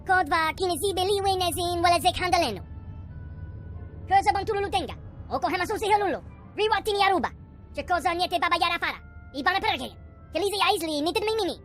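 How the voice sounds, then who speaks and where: Tiny excitable alien, very high squeaky voice, rapid babble, video game character, music behind. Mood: agitated chatter. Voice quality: squeaky, cartoonish. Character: small excitable alien creature chattering in made-up tongue.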